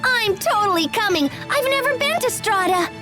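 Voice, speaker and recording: A very high-pitched, bubbly girl. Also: expressive, normal speed. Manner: slangy, exuberant. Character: excitable, quirky, bubbly young girl adventurer. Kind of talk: animated video game voice acting. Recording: loud music behind the voice.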